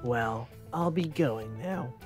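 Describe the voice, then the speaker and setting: A man's voice: mid-range voice, lively intonation, slow, darkish tone, pitched below the performer's own voice. A man voicing a flustered male inventor in a visual novel, with an awkward, exaggerated delivery. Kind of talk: visual novel character dubbing. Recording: music behind the voice.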